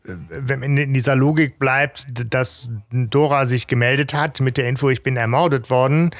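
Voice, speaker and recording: A man's voice: mid-range voice, steady tone, moderate pace, no room sound. A man hosting a fan podcast who recaps the facts of a radio-play case in casual chat, often tagging his sentences with "ne?". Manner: recaps plot facts with frequent "ne?" and "äh". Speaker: podcast host.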